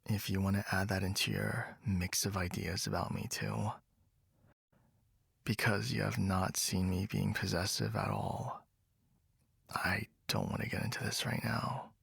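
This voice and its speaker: A young man voicing a jealous, possessive best friend in a whispered roleplay, speaking low and breathy with frequent sighs, close to the microphone. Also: fairly even intonation, a little slow, fairly bright tone, no echo, heavily acted. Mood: irritated, defensive. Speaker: ASMR roleplay voice actor. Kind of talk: whispered ASMR boyfriend roleplay.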